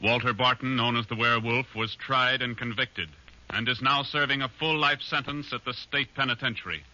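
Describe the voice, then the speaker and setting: A man's voice: mid-range voice, moderate pace, background noise. An American man reading formal scripted announcements in 1940s radio style. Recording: dull vintage radio recording, music behind.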